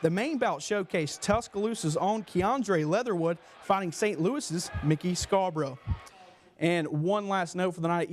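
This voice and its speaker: A man's voice: sits high, a little hiss or hum. A young man reading a scripted sports newscast as a TV anchor. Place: small TV news studio. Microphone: lapel mic.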